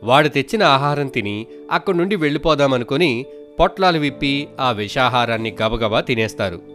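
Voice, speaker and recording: A man's voice: medium pitch, expressive, fairly quick, fairly bright tone, faint music behind. A man narrating scripted moral stories for children as a storyteller.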